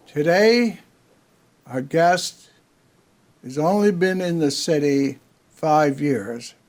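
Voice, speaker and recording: Older man, talk show host, slow speech, slightly high voice, joking storyteller. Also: a little mellow. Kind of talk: casual community TV interview.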